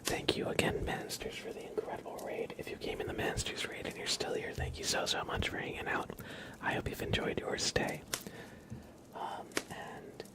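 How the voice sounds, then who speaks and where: A man whispering softly and casually as he hosts an ASMR livestream, in a hushed, unhurried manner.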